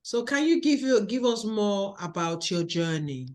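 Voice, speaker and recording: A woman with a Nigerian accent hosting a podcast interview, speaking in a slow, measured, encouraging way. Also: mid-range voice, expressive. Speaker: podcast host.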